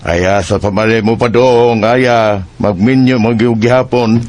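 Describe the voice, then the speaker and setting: A man with a Filipino accent playing a teenage bride's father in a radio drama, speaking haltingly. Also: on the low side, fairly even intonation, a little mellow, lightly acted. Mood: resigned.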